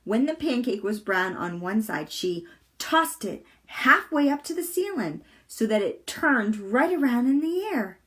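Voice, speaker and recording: A woman reading a children's chapter book aloud with deliberate, expressive storytelling. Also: a little high. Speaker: volunteer storybook reader.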